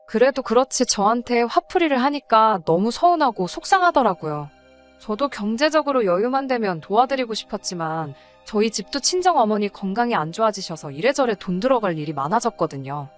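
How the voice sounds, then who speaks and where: A woman reading a scripted first-person personal story as a narrator. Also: fairly high pitch.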